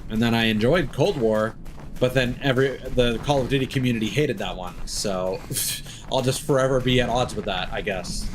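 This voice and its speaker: A man's voice: medium pitch, moderate pace, a little hiss or hum. A man in his thirties chatting casually and bluntly as he livestreams a video game. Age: 30s. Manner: opinionated, blunt, casual swearing. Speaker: game live streamer. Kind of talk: casual livestream chat over gameplay. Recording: music behind the voice. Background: game music.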